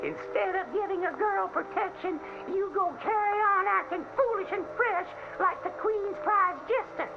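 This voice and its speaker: A woman's voice: very high-pitched, moderate pace, dull audio, background noise. A woman playing a prim, indignant young village girl in a radio ghost tale, scolding in melodramatic old-fashioned phrases. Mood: indignant, anxious. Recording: band-limited old radio sound, music behind.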